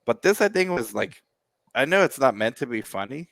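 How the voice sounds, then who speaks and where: A man's voice: medium pitch, sing-song, normal speed, gravelly, fairly bright tone, no room sound. A man, co-hosting a casual music reaction show, joking and sarcastic as he rambles. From United States.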